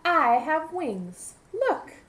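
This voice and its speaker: A woman voicing a boastful, dismissive little bumblebee in a children's storybook, brisk and blunt. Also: high voice, sing-song, fairly bright tone, faint music behind, voice pushed up, full character voice. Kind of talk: storybook read-aloud for young children.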